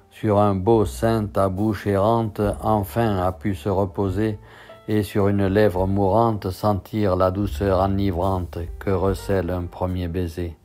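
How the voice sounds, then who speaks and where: A man reads poetry in a measured, deliberate literary recitation. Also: sits low, mellow. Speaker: poetry reader. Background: instrumental music.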